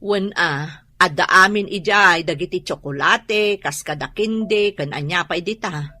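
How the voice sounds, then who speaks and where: Bright-voiced, weary generous aunt. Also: female voice, on the low side, a little slow, dry recording. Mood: relieved. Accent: Ilocano. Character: weary, generous aunt home from working overseas. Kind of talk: Ilocano radio comedy drama acting.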